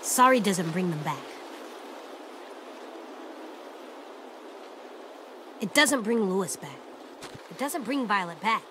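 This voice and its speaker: A woman voicing a blunt, guarded little boy survivor in a video game, speaking in terse, halting lines. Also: medium pitch, big pitch swings, bright, forceful tone, slight background noise. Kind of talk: naturalistic video game dialogue acting. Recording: faint outdoor stream ambience.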